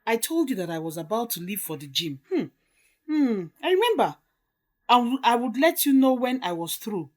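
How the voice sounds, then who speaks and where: Woman playing an animated, indignant mother. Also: fairly high pitch, moderate pace. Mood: shocked, indignant. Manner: emphatic, melodramatic. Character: indignant, dramatic mother of a grown son.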